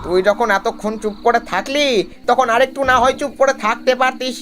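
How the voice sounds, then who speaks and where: A sarcastic, practical young man, played by an actor in an animated horror story. He teases and reproaches his friends over faint noise and chirping crickets. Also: medium pitch, a little fast, close to an everyday voice. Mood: reproachful. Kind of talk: animated horror cartoon dialogue.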